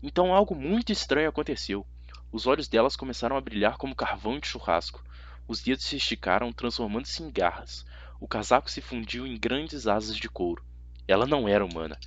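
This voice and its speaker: A man's voice: mid-range voice, expressive, brisk, husky, no echo, muffled recording. A man with a Brazilian accent narrating a story dramatically in the first person, as an amateur audiobook reader.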